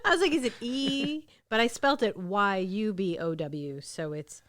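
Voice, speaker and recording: Clear-voiced woman in casual chat. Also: mid-range voice, unhurried. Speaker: talk show panelist.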